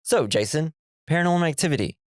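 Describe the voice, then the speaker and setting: A man's voice: a little high, expressive, fast talker. A young man co-hosting a casual movie podcast, sounding nasal from a cold, rambling and laughing as he acts out the scares. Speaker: podcast co-host.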